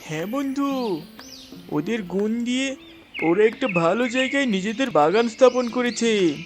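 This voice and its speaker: A chatty, friendly parrot from a kids' cartoon, acted by a man, pitched high. He sounds chummy and enthusiastic, with music clearly behind him. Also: lively intonation, a little slow. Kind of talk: children's cartoon character voice.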